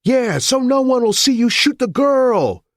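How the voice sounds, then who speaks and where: A hysterical heckler yelling shrilly from the crowd, as a male narrator puts him on. His voice shoots high above the reader's own tone. The recording is dry and close. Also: wildly swooping pitch, very slow, dry recording, full character voice.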